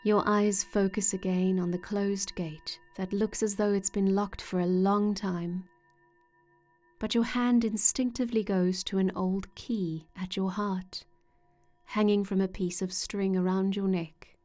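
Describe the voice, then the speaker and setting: A woman guides a meditation at a very slow, unhurried pace. Her voice is soft-toned and mellow, sitting at a medium pitch. She speaks gently and soothingly, leaving measured pauses, over faint music with singing-bowl tones. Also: flat delivery. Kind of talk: guided meditation narration, scripted.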